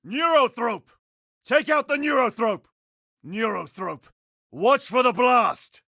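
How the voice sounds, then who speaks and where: An actor playing a devout, commanding space marine with a husky voice in a video game. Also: male voice, on the high side, very animated, measured pace, a bit bright, dry recording, studio quality, heavily acted. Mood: urgent zeal. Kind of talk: video game combat voice lines.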